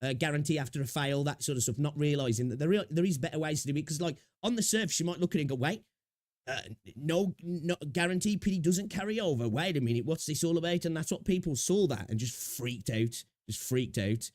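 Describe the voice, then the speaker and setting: A man's voice: fairly high pitch, expressive, a little fast, darkish tone, no room sound, clean studio sound. A young man chatting casually on a live stream, a little sniffly with a sore throat, rambling and greeting viewers. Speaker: streamer reacting to videos.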